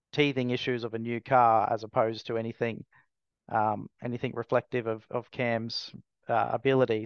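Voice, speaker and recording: A man's voice: medium pitch, clean tone, no echo, lo-fi sound. A man, answering interview questions as a racing team owner in a hesitant way, full of fillers and restarts.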